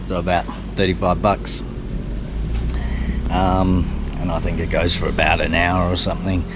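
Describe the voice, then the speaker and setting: A middle-aged man, chatting his way through a travel vlog in a relaxed, easily pleased manner, his voice a little croaky. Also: deep voice, moderate pace, noisy background. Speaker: travel vlogger. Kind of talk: casual vlog narration. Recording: loud guitar music behind.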